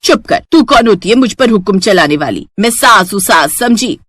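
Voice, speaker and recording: A woman's voice: mid-range voice, lively intonation, normal speed, a bit bright, breathy edge, no room sound. Woman playing a bossy, dramatic mother-in-law. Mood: bossy, scolding. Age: older. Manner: scolding, imperious, melodramatic.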